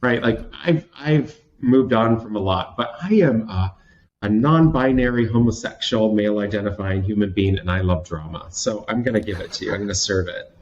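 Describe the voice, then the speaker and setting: A man in his thirties tells his story as an interview guest with theatrical flair, poking fun at himself and often restarting his sentences. His voice sits a little low. The recording is dull and lo-fi. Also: moderate pace. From Washington State, USA. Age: 30s. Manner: animated, theatrical, self-mocking with frequent restarts. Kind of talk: candid storytelling in conversation.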